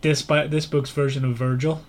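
A man's voice: on the high side, flat delivery, normal speed, slight background noise. A man hosting a podcast, discussing a book in an informal, loosely phrased conversational way. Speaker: podcast host.

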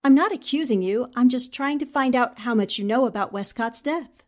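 A woman acts out a determined lady reporter in a forties radio play. Her fairly high voice is poised and polite, with a probing edge. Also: moderate pace, lightly acted. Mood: probing, pointed. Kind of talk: 1940s radio drama acting.

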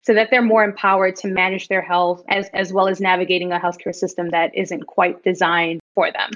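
A woman's voice: fairly low pitch, flat delivery, fairly quick, a bit bright, muffled recording. A young woman from New York City with an American accent, speaking candidly and reflectively as a guest in a casual interview. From New York City, United States. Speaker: interview guest, startup founder.

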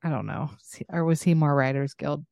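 A woman's voice: deep voice, a little fast, vocal fry, mellow, no echo, studio quality. A woman co-hosting a podcast in casual chat, talking in a chatty, joking, self-deprecating way. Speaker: podcast co-host.

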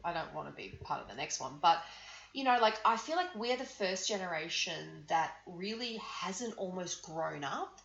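A woman's voice: on the low side, lively intonation, moderate pace, vocal fry, fairly bright tone. An Australian woman in her forties chatting casually as a podcast co-host, outspoken and swearing freely. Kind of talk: casual chat. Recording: roomy dull-sounding recording.

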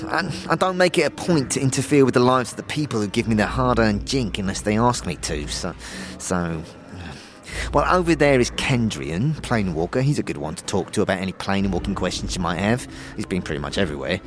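A man's voice: lowish voice, lively intonation, brisk, lightly acted. A man voicing a chatty, slangy tavern keeper in a fantasy audio drama, with stammering asides. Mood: confiding, chatty.

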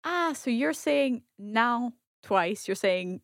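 A woman's voice: a little high, animated, slow pace, gravelly, no echo, studio quality. Curious Scottish woman learner. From Scotland. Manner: curious, asks follow-up questions, repeats phrases back. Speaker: language learner co-host. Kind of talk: language lesson dialogue.

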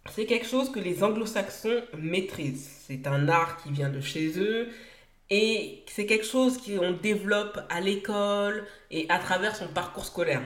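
French woman doing her solo podcast, earnest and emphatic, kinda echoey room. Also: medium pitch. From France. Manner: earnest, emphatic, builds points in short phrases with some hesitations. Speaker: podcast host.

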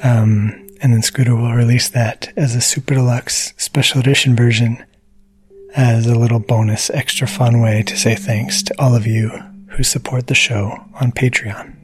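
A man's voice: medium pitch, little pitch movement, moderate pace, poor audio. A man giving a casual spoken introduction to a podcast episode as its producer. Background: soft gentle music.

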